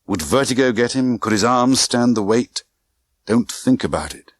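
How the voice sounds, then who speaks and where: A man's voice: medium pitch, lively intonation, moderate pace, dry recording. A man reading a thriller audiobook in a tense, theatrical manner with clipped short phrases. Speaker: audiobook narrator. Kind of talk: dramatic scripted narration of a spy thriller novel.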